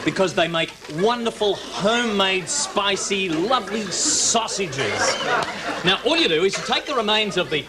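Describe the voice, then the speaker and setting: A man's voice: sits high, animated, moderate pace, crowd noise. An Australian man presenting a mock cookery demonstration in a theatrical, mock-earnest way. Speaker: comedy TV cooking presenter. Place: TV studio kitchen set. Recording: noisy old TV audio, studio audience. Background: audience noise and laughter, clicks.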